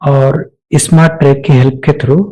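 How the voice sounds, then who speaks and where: A man with a dark, soft-edged voice walks through software steps as an instructor. He speaks at a middling pitch, explaining off the cuff and dropping in English technical terms as he goes. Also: steady tone, moderate pace, poor audio. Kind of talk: step-by-step screen tutorial, spoken off the cuff.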